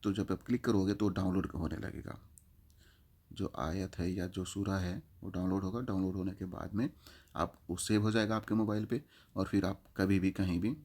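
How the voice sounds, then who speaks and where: A man's voice: low voice, steady tone, normal speed, darkish tone. A man giving an informal step-by-step app walkthrough, explaining with examples and repeating his instructions. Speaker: app tutorial presenter.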